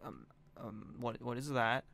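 A man's voice: mid-range voice, measured pace, gravelly, fairly bright tone. A man playing a rash young fire wizard student, stammering and babbling in an improvised game roleplay. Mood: nervous.

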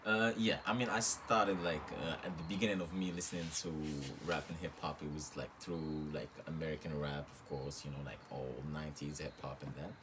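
A young man from Morocco, a guest rapper, talking casually in an interview with many fillers and soft laughs, in a small room recorded on a close phone camera. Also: rumbling low, fairly even intonation, brisk, few pauses, noisy background. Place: small room with reed-panel wall.

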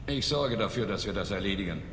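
An actor playing a resolute, commanding space soldier in a video game, speaking firmly. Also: male voice, on the low side, animated, fast talker, a bit bright. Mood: assured. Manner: firm, assured. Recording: reverberant game scene, faint noise.

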